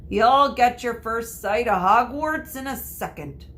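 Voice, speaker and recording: A woman voicing a big, hairy, hearty giant man from a storybook, calling out to children in drawn-out shouts. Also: a little high, slight background noise, pitched above the performer's own voice.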